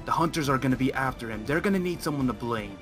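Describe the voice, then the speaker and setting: A man acts a werewolf pack leader in an amateur game roleplay. He is insistent and probing, defending his pack in a mid-pitched voice. Faint music plays behind him. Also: expressive, quick pace, natural voice. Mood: accusing, insistent. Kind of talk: amateur Minecraft roleplay acting.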